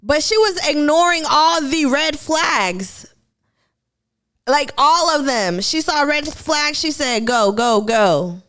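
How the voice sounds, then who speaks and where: A woman's voice: high voice, animated, slow, a bit bright. A woman from Kenya with a Kenyan accent, chatting casually to viewers on a live stream about a TV show. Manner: chatty, addresses viewers as y'all.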